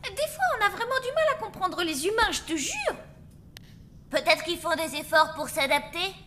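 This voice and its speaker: Very high-pitched zombie girl. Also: normal speed, soft background music, exaggerated voice. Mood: earnest, hopeful. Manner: animated, earnest. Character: upbeat, considerate young zombie girl. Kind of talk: French-dubbed children's cartoon acting.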